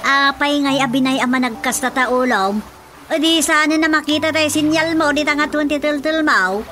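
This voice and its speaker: Lady doing flustered ilocano auntie, really high voice, radio comedy, music playing under it. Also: normal speed, sharp tone. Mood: startled, puzzled. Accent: Ilocano Filipino. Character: flustered, exclaiming comic village woman, an auntie.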